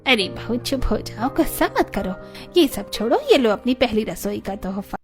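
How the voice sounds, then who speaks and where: A woman playing an anxious older mother-in-law in a family story, gently coaxing and placating. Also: a little high, expressive, moderate pace, over music. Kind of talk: dramatised Hindi family story acting.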